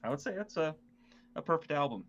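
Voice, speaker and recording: A man co-hosting a podcast in relaxed, casual conversation. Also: on the high side, fast talker, dull audio. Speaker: podcast co-host. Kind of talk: casual chat.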